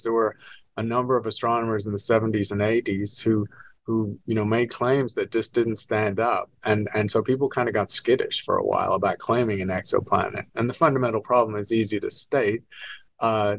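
A man's voice: on the low side, no room sound. A man in his sixties, originally from Scotland, explaining things fluently as an academic guest in an interview. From born in Scotland, raised in England and the US. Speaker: astronomy professor, author, podcast guest.

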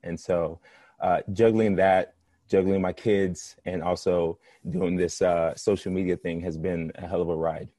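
A man from Texas, a guest on a casual panel, talking in a relaxed, easygoing way. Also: low voice, a little slow, no room sound.

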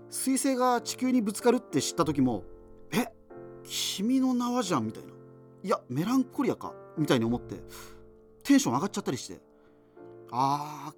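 Radio drama host played by a man: nerdy, rambling, self-interrupting, very lively intonation, music underneath. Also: fairly high pitch, lightly acted. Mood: wry, resigned. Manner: hesitant, digressive, self-interrupting. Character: rambling, geeky male radio host facing doomsday in 2039. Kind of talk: radio drama monologue, conversational.

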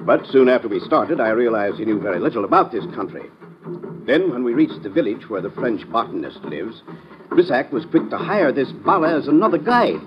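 A man's voice: mid-range voice, very animated, brisk, music in the background, lightly acted. A man acting an eager, polite and scholarly professor in an old-time radio drama, speaking effusively. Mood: puzzled, confiding. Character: eager, genteel male professor on expedition. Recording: muffled old radio recording, loud background noise.

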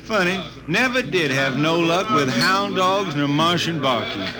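A man's voice: a little high, animated, a little slow. An actor playing a cocky, hard-drinking spaceman balladeer with a folksy rural Southern American drawl in an old-time radio drama. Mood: wistful, easygoing. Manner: folksy, slangy, sly drawl. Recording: vintage radio recording with music behind.

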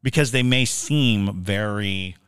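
Deep-voiced man explaining things, close and dry. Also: takes it slowly, a bit bright, clear, resonant tone, no room sound. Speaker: podcast co-host. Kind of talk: casual explanatory talk.

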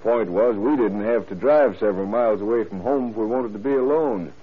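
A man playing a gruff, businesslike small-town undersheriff in an old-time radio crime drama, terse and plain-spoken. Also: fairly low pitch, fairly quick. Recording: noisy, tinny old radio broadcast recording.

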